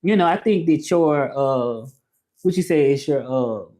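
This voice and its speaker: A man whose first language is English, hosting a casual livestream and reading viewer comments, talking haltingly with many fillers and restarts. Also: fairly high pitch, expressive, mellow. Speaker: livestream host.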